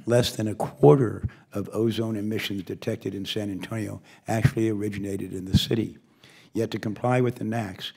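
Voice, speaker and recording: Hoarse older man chairing a hearing. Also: on the low side, a little mellow. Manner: formal, measured delivery with occasional asides. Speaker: committee chairman. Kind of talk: reading a prepared opening statement at a hearing.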